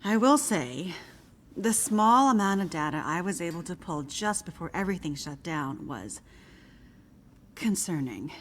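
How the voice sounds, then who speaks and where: A measured older scientist, lowish voice. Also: female voice, animated, a little hiss or hum. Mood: grave, cautious. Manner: measured, formal, precise wording. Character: guarded, measured older woman scientist, mentor. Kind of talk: video game cutscene acting. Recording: faint music behind the voice.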